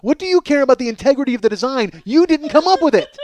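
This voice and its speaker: A man's voice: very high voice, very animated, fast, darkish tone, slight background noise. A middle-aged American man delivering a casual, profane comedic monologue as a podcast host, exasperated and self-mocking, addressing his listeners as folks.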